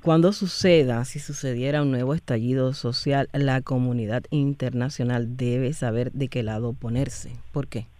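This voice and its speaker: A man's voice: mid-range voice, fairly even intonation, normal speed, few pauses, dark tone, no echo. A man hosting a news interview, formal and direct. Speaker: news host.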